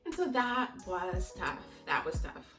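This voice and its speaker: A woman's voice: fairly low pitch, sing-song, unhurried. A young American woman giving a casual, candid vlog talk to her viewers, chatty and rambling as she goes. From United States (Maryland), teens in Mozambique. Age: young adult. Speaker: vlogger. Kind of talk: casual chat to camera. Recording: echoey room, distant mic, music behind.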